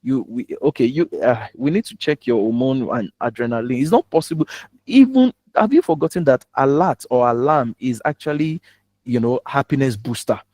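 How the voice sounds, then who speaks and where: A man's voice: mid-range voice, expressive, normal speed, a little mellow, no echo. A man with a Nigerian accent giving an instructional talk as a public speaking coach, emphatic and given to rhetorical questions.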